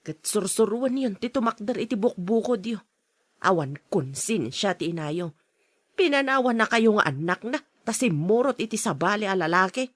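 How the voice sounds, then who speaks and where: A woman's voice: mid-range voice, expressive, moderate pace, no room sound, studio quality, close to an everyday voice. A woman playing a practical, admonishing middle-aged aunt in a radio drama. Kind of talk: Ilocano radio drama acting.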